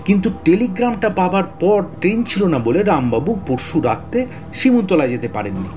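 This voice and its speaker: High-pitched, excitable police detective. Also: male voice, a little fast, faint music behind. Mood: grave, explanatory. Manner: blustery, hesitant stammers and repeated words. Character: excitable, talkative middle-aged male police detective. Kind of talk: Bengali audio story acting.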